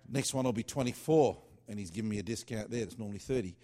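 Lowish voiced guy rambling about his worship songs, lots of uhs and restarts. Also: fast, warm tone. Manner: loose and chatty, with many fillers and restarts. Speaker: worship singer-songwriter. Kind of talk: casual rambling talk.

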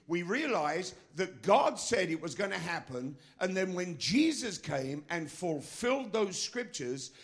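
A middle-aged man preaching a sermon as pastor of his church, with a non-American accent, emphatic and asking the congregation questions. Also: high-pitched. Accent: foreign, not American.

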